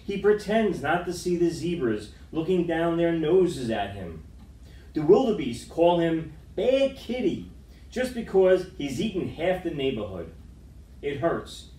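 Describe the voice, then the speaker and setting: A middle-aged man reading a children's picture book aloud with dramatic, expressive storytelling, recorded indoors by a camera across the room. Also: fairly high pitch, unhurried. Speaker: storytime reader. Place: school room with wood-panelled walls, indoors. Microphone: far camera microphone. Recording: roomy, distant camera microphone.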